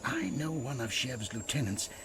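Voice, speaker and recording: An actor playing a chatty, ingratiating rogue informant in an audio drama. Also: male voice, mid-range voice, animated, moderate pace, close to an everyday voice. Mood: oily. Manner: breezy, ingratiating. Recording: clear background noise.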